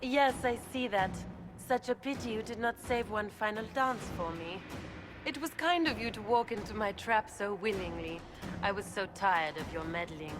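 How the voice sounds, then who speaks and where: Game villainess, played by a woman: scheming highborn lady, poised, smug, courtly mockery, clear music underneath. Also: moderate pace, close to an everyday voice. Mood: silky, mock-polite. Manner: courtly, mocking politeness. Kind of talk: video game cutscene acting.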